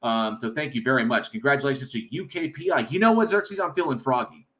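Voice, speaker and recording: A man's voice: mid-range voice, quick pace, fairly bright tone. An American man hosting a casual livestream, rambling with many fillers and reading chat aloud from home. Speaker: livestream host.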